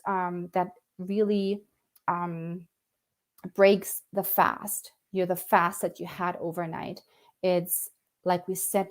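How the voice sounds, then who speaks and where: A woman's voice: fairly low pitch, a little mellow. A middle-aged woman from Germany, a nutritionist explaining in a casual talk to camera, thoughtful and hesitant, with frequent fillers and restarts.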